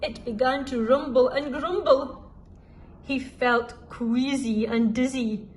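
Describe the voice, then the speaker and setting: A woman reading a children's story aloud expressively, deliberately drawing out the dramatic sound words. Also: high voice, fairly even intonation, darkish tone, slight background noise. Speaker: school storyteller.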